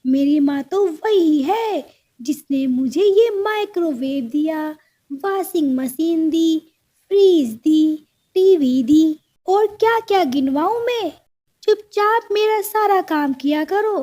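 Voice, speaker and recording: A woman voicing a haughty, sharp-tongued young crow wife in a cartoon, bossy and scornful. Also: very high voice, animated, slow pace, exaggerated voice. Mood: scornful bragging. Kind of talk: cartoon story dialogue.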